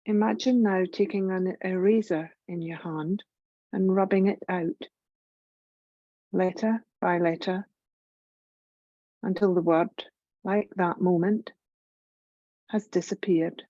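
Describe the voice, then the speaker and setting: A woman guiding a yoga relaxation meditation in a gentle, soothing, measured way, leaving long pauses. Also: lowish voice, steady tone, dry recording, muffled recording. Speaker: yoga nidra meditation guide.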